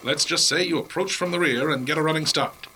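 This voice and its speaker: A man's voice: on the high side, a little fast, bright, forceful tone. A man playing a shameless, earnest professor reporting as an eyewitness in a radio comedy, matter-of-fact about horrors, over a noisy line. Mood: earnest, unrepentant. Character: shameless, self-preserving male astronomy professor, earnest survivor. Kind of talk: 1930s radio comedy drama acting.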